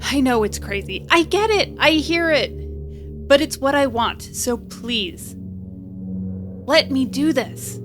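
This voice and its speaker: Flippant young repairwoman, audio drama. High voice, slow delivery, self-deprecating bravado with an earnest core. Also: animated, over music. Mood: resolute, pleading. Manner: rambling, joking bravado over earnest pleading.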